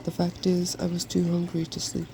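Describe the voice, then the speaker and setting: A softspoken reader voicing a weak, hungry old hippogriff from a fairy tale, plaintive and doubtful. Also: mid-range voice, completely flat delivery, moderate pace, lightly acted. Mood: gloomy. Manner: plaintive, hesitant. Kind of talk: fairy tale storybook reading. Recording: clearly noisy background.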